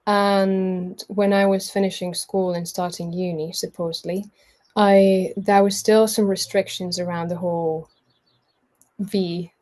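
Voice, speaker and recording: Young Spanish-accented woman, unhurried and mellow. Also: lowish voice, flat delivery. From Mallorca, Spain. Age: early 20s. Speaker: guest sharing a personal testimony. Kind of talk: informal autobiographical storytelling.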